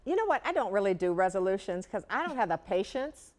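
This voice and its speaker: A woman's voice: mid-range voice, very animated, fast talker. A woman co-hosting a morning TV show, chatting cheerfully about her year. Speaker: TV co-host. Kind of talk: morning TV chat.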